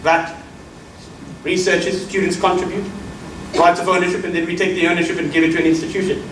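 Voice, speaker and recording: A man's voice: high-pitched, little pitch movement, fast talker, background noise. A middle-aged South African man giving an emphatic keynote lecture, addressing the audience as colleagues. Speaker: keynote speaker. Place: lecture hall. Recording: roomy echo from far mic, faint ambience.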